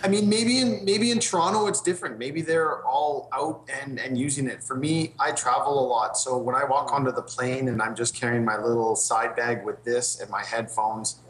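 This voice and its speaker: A man's voice: medium pitch, fairly bright tone. A young man joining a casual chat as a guest over a video call from an indoor room. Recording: roomy video call audio with faint hiss.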